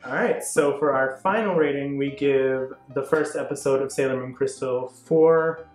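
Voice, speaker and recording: A man's voice: mid-range voice, normal speed. Male review host, conversational panel chat, echoey room, faint music underneath. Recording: roomy echo with faint music.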